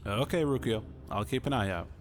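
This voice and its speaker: A man's voice: mid-range voice, brisk. A man doing a casual amateur voice-over as the player's hero in a video game.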